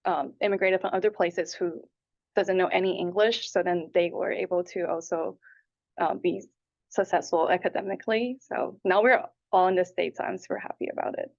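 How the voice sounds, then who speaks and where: Young woman with a lowish voice, muffled recording. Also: steady tone, normal speed, gravelly. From Taipei and Hong Kong. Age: 20s. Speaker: interviewee, applicant. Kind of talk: answering interview questions. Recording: dull, lo-fi audio.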